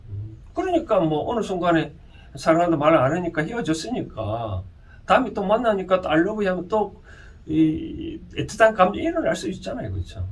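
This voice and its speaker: Male lecturer, Gyeongsang Korean accent, very lively intonation, halting informal delivery full of rhetorical questions, recorded at a distance from the mic. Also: high voice. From Gyeongsang region, South Korea. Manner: halting, often asks listeners rhetorical questions. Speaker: lecturer and counsellor. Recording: distant mic with faint background noise.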